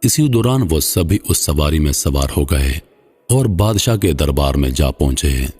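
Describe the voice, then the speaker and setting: A man narrating a scripted moral folk tale in formal literary style, with a measured, solemn delivery. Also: rumbling low, very animated, a little mellow, breathy edge. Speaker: storyteller, narrator. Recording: long hall-like reverb, slightly muffled voice.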